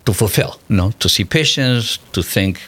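A man's voice: on the low side, expressive, moderate pace, a bit bright. A man in his fifties from Peru, a native Spanish speaker with a Peruvian accent, answering questions conversationally as an interview guest.

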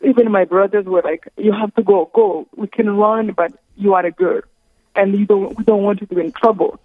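A woman's voice: medium pitch, steady tone, no echo. Woman, tinny phone line. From Rwanda. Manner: slow, earnest, halting with careful pauses. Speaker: guest. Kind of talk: phone interview, personal testimony.

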